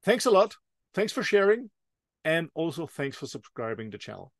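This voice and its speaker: A man with a German accent hosts a business podcast interview in English. He speaks at an average pitch and often halts and repeats words. The sound is dry and close, with little room around it. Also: sing-song, normal speed, dry recording. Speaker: podcast host. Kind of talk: interview and sign-off.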